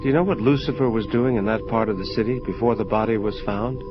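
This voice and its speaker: A man as blunt, low-voiced angel detective. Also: fast talker, lo-fi sound, over music, lightly acted. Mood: probing. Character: gruff streetwise male angel detective, insistent questioner. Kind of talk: hardboiled audio drama dialogue.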